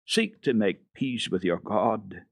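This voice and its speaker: Man reading as an earnest, animated village pastor. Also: lowish voice, slow pace, vocal fry, no room sound, clean studio sound, full character voice. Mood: earnest, hearty. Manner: earnest, hearty, preachy. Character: earnest village pastor, male, admonishing but friendly. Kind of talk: dramatic storybook reading.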